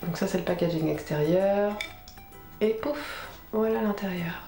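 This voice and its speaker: A woman's voice: medium pitch, measured pace, warm tone. Sniffly, chatty woman in an echoey room. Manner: chatty, informal, talking while applying makeup. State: sniffly with a head cold. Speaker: beauty vlogger. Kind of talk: casual makeup tutorial chat. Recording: roomy echo with music behind.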